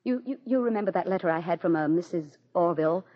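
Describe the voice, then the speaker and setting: Proud high-strung first lady, husky voice, dramatic formal delivery, muffled old 40s radio. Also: expressive, quick pace. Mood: urgent. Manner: formal, theatrical, emphatic. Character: proud, anxious, embattled president's wife, prone to outbursts. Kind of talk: 1940s radio drama acting. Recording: muffled vintage 1940s radio recording.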